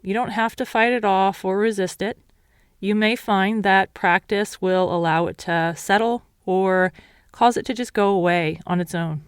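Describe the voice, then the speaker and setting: A woman meditation teacher leading a guided meditation in a calm, measured, instructional way. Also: mid-range voice, smooth, dry recording, clean studio sound.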